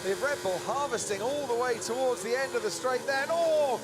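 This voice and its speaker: A man calls a motor race live as lead commentator, his voice pitched very high. He delivers it urgently, with dramatic questions, over loud engine noise from the circuit. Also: fairly quick. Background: race cars and outdoor circuit ambience.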